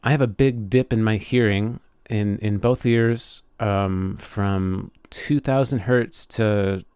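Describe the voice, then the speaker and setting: Halting man in close, dry casual chat. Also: on the low side, darkish tone, clean tone, no echo. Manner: halting, frequent self-interruptions. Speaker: podcast co-host. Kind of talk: casual conversational podcast chat.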